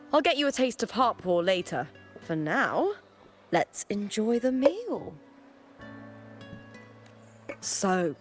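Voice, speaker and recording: An actress voicing a confident, friendly and earnest young female warrior in a video game. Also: lowish voice. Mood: friendly, teasing. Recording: music under the voice.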